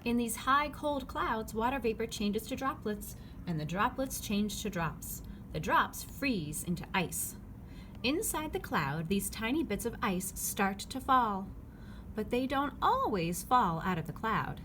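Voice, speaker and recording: Woman, children's read-aloud, lively melodic intonation, medium pitch, clear simple phrasing, noisy background ambience. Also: unhurried. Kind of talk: children's picture-book read-aloud, scripted.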